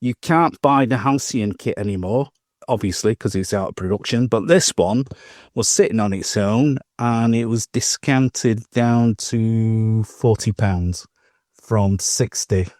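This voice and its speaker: A man's voice: on the low side, a little slow, a little mellow, no room sound. Chatty man, dry close sound. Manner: chatty storytelling with laughs and restarts. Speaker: podcast co-host. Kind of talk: casual chat.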